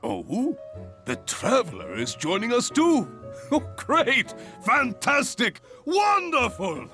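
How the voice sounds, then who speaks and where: A hearty jovial guild leader. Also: male voice, wildly swooping pitch, slow pace, sharp tone, full character voice. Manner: booming, jovial, boisterous. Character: hearty middle-aged male adventurers guild leader. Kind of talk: video game cutscene dialogue. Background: game music.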